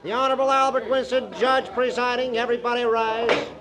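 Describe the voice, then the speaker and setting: A man's voice: very high-pitched, level tone, fairly quick, over loud music, low-quality recording. A man playing an officious court clerk, rattling off formulas in 1940s radio drama style.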